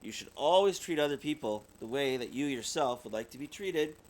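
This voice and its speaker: A man voicing a kindly father bear in a storybook, giving calm, instructive advice. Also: mid-range voice, close to an everyday voice, poor audio. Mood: kindly advising. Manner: measured, instructive.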